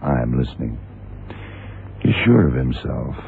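A man acting a calm, shrewd FBI agent in a radio drama, speaking in a measured, probing, matter-of-fact way. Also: a bass voice, vocal fry, heavily acted. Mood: grave. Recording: tinny, narrow-band, noisy old radio recording.

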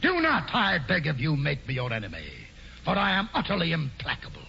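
A pompous scheming governor. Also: male voice, on the high side, huge pitch swings, brisk, creaky, bright, forceful tone, husky, heavily acted. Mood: oratorical. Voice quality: grandiose, mock-genial, sly. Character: pompous scheming male colonial governor, middle-aged. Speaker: governor Stuyvesant. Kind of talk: 1940s radio acting. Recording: muffled old radio broadcast, faint hiss.

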